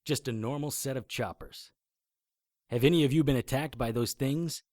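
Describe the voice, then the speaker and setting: An actor playing a grim, deadpan occult investigator who narrates his own adventure in a noir audio drama. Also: medium pitch, moderate pace, no echo, clean studio sound, natural voice. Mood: guarded, urgent.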